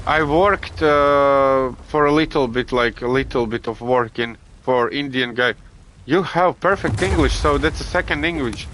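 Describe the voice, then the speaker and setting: A man from Latvia with a Latvian accent, streaming a video game and chatting casually with viewers, halting with many restarts and fillers. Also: medium pitch, fairly bright tone. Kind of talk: casual gameplay chat with viewers. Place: home gaming setup.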